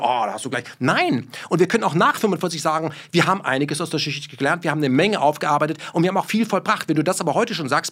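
Brief: man from Germany, rapid, emphatic, swears, fires rhetorical questions in conversation. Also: a little high, creaky, fairly bright tone. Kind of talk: heated podcast conversation.

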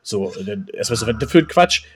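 A man's voice: lowish voice, sing-song, brisk, breathy edge. A man co-hosting a podcast, telling anecdotes casually in a rambling, colloquial way. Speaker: podcast co-host.

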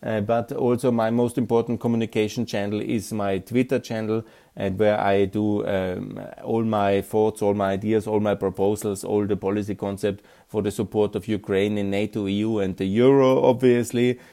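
A man's voice: fairly low pitch, little pitch movement, moderate pace, mellow. A man with an Austrian accent, a native German speaker, hosting a solo podcast of political commentary in an earnest tone, with frequent "uh" and "yeah" tags. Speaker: podcast host.

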